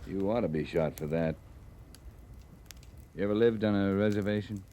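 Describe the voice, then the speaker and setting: An actor playing a terse, dry-humored cavalry captain in a 1950s radio western, with a gruff, blunt manner. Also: male voice, sits low, normal speed, warm tone, natural voice. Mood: dry, probing. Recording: old radio recording with background noise.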